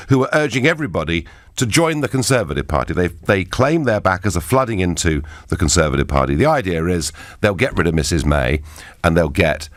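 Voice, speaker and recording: A middle-aged man fronts a radio phone-in, his fairly low voice leaping around as he makes his points. He sounds emphatic and opinionated, tossing in wry asides. The studio sound is close and dry. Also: no room sound. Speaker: radio talk show host.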